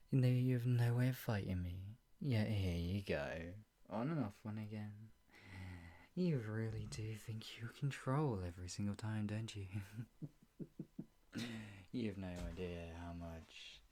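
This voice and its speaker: This is a performer acting an obsessive, possessive kidnapper in an ASMR roleplay. The delivery is slow and smug, full of teasing sighs and chuckles. It often sinks toward a breathy, taunting whisper. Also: medium pitch, animated, a little mellow. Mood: gloating, teasing.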